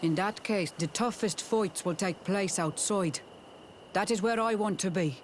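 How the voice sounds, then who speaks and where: A man's voice: high voice, a little slow, a little hiss or hum. A man playing a stern, proud native war leader in a video game, grave and resolute. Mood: grim resolve.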